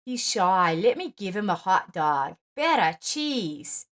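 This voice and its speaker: A woman's voice: medium pitch, big pitch swings, takes it slowly, a bit bright. A woman voicing a friendly young man who talks in a light, playful way.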